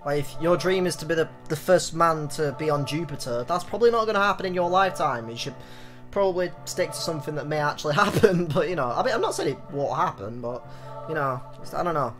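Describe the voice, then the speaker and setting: A man hosting a let's play, mixing excitable, jokey commentary with dramatic reading aloud of the game's text. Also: on the high side, quick pace. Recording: game music behind the voice.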